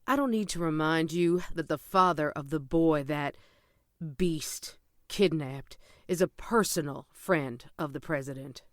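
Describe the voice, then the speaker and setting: A stern government chief played by a woman in a superhero audio drama. She speaks slowly and with authority, clipped and unsmiling, in a dry close recording. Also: low-pitched, dry recording. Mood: cold, commanding. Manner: curt, authoritative. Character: stern, hard-nosed female government official.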